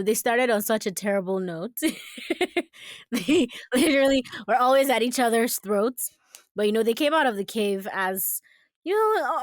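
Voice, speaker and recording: A woman's voice: a little high, dry recording, studio quality. A woman voice actor talking casually and reflectively as an interview guest, in a thoughtful, conversational manner.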